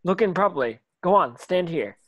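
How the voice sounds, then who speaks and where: A man's voice: sits high, expressive, normal speed, no echo, dull audio. A man playing an earnest young orphaned boy wizard in a scripted read, plain-spoken and yearning. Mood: excited, urgent. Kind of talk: scripted table read acting.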